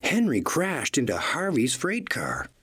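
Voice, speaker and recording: A man narrates a children's storybook in an animated read-along style. His mid-pitched voice has a husky edge and swings widely in intonation. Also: a little slow.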